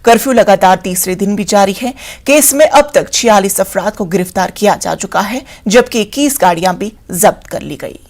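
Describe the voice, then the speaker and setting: A woman anchors a news bulletin, reading from a script. Her voice sits at an ordinary pitch for a woman and her delivery is formal and orderly. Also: moderate pace, hardly any pauses, darkish tone.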